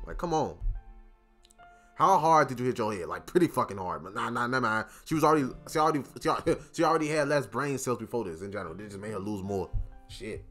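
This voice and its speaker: Brash gaming host, slightly raspy morning voice, lively pitch, quick to curse and mock. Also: male voice, faint music behind. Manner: brash, loud, cursing, mocking. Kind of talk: profane comedic let's-play commentary.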